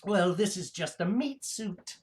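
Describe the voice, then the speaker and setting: Pompous reptilian mad scientist, put on by a performer, slow and gloating, mid-register, rambling comic livestream villain. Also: medium pitch, lively intonation, lightly acted. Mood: rambling, boastful. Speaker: host of a livestream show. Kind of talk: comic in-character villain monologue.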